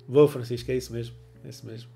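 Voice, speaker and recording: A man's voice: medium pitch, vocal fry, dark tone. A man in his forties with a European Portuguese accent, chatting casually on a livestream with a cold, laughing often and greeting viewers by name, recorded on a wired earphone mic in a small home room. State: has a cold. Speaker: photography livestream host. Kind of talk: casual livestream chat reading viewer comments.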